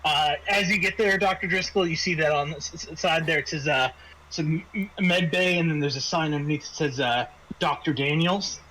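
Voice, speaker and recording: A man narrating an improvised tabletop game as game master, often halting and repeating words as he searches for phrasing. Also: fairly high pitch, sharp tone, a little hiss or hum, low-quality recording.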